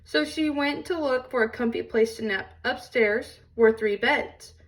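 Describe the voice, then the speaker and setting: A woman reading a children's storybook aloud in an expressive storytelling style. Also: fairly high pitch, a little slow, far from the mic.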